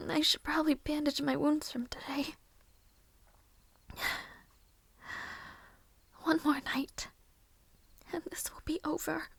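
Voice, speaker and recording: Woman playing a high, breathy, weary young maid. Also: dry recording, exaggerated voice. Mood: sad, resigned. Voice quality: breathy, half-whispered. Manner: slow, soft, formal old-fashioned phrasing, musing to herself. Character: weary, mistreated young maid, a gentle bride-to-be. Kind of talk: audio roleplay monologue.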